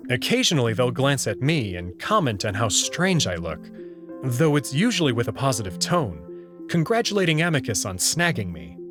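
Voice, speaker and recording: A man's voice: medium pitch, very animated, normal speed. A man reading first-person story narration from a script. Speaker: narrator reading a visual novel aloud. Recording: music playing behind the voice.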